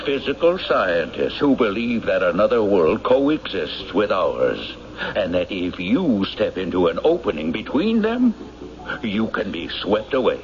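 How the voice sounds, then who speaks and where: A man playing a persuasive small-town banker and civic leader in a radio drama, measured, insinuating and argumentative. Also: on the low side, very animated, a little hiss or hum. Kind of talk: old-time radio drama acting. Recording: dull old radio broadcast.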